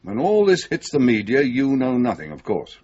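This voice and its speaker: Casting: senior police chief, dry, husky, varied intonation, in charge, slightly dull radio sound. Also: male voice, mid-range voice, wildly swooping pitch, moderate pace, darkish tone. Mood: dry, satisfied. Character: dry, authoritative senior male police boss. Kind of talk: 1980s British radio drama acting. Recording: dull lo-fi radio drama recording.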